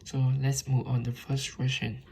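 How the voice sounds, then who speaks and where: A man from Vietnam with a Vietnamese accent gives an informal student presentation in halting, hesitant non-native English. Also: medium pitch, level tone, creaky, warm tone, slightly rough.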